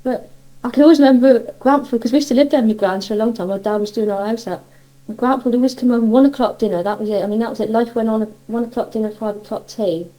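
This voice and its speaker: A woman's voice: fairly high pitch, fast, warm tone, poor audio. An older woman from Cornwall with a Cornish accent, casually reminiscing in a chatty, rambling, nostalgic way. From Cornwall, England. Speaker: interviewee sharing childhood memories.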